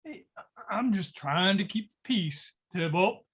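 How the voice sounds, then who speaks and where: A man acts out an earnest peacemaker, often put upon. He speaks slowly, with big swings in pitch. It sounds like a dramatic script read on a video call. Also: lively intonation, a bit bright, husky, exaggerated voice. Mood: pleading. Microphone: home microphone on a video call.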